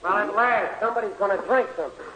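An actor playing a sardonic, wisecracking barroom regular in a 1940s radio comedy, dry and needling. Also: male voice, sits high, fast, sharp tone, a little hiss or hum, rough recording. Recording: old radio broadcast with audience murmur.

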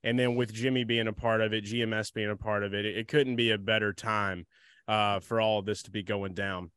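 A man's voice: lowish voice, level tone, fairly quick, a bit bright, smooth, no echo. An American man hosting a casual chat, talking in an informal, slangy, rambling way. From New Orleans area, United States. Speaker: podcast host.

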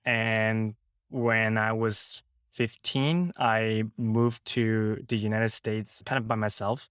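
A man with a Hong Kong accent speaks in a fairly low voice, recounting his own experiences in a casual interview style. The sound is dry and close, with little room to it. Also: flat delivery, takes it slowly, fairly bright tone, clear, resonant tone, no room sound. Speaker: podcast guest. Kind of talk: casual interview, personal storytelling.

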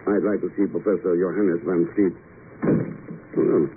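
A thin, faintly hissy old radio recording of a man playing a suave agent. His voice is low and composed. He speaks with dry courtesy, unflappable throughout. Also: steady tone, normal speed, phone-quality sound, close to an everyday voice. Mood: courteous, composed. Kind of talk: 1950s radio acting.

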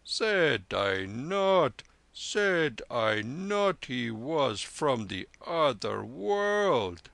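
A man reading aloud, voicing a gentle old holy man proclaiming slowly and proudly. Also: mid-range voice, sing-song, gravelly, no room sound, voice pushed up, exaggerated voice.

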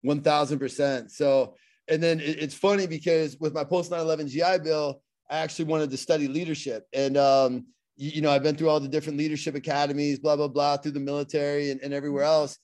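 A man's voice: a little high, little pitch movement, fast, darkish tone. A middle-aged man with a Pittsburgh accent, a guest speaker talking earnestly in a casual interview and often repeating and restarting his words.

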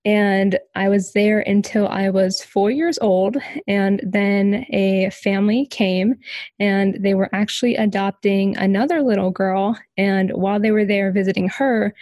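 A woman's voice: mid-range voice, fairly even intonation, a little slow, a little mellow, dry recording. Casual young woman, dry close sound. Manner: casual, many ums, light laughs. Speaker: podcast guest. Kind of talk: personal story in an interview.

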